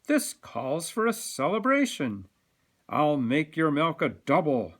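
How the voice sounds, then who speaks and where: A man's voice: on the high side, very animated, takes it slowly, fairly bright tone, exaggerated voice. A man voicing a friendly, encouraging male sweet shop owner from a storybook, in a hearty, exclaiming way. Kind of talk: storybook character reading.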